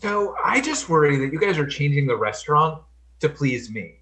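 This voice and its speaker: A man's voice: on the high side, big pitch swings, normal speed, a bit bright, slight background noise. A man improv performer talking earnestly and a little hesitantly over a video call. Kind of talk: improvised scene and casual chat.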